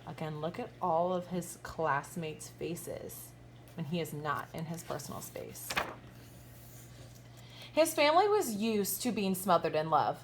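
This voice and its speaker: Expressive woman teacher reading a storybook aloud. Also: on the low side, a little hiss or hum. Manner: emphatic, pauses to question young listeners. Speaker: kindergarten teacher reading a picture book aloud. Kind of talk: expressive children's storytime read-aloud with asides.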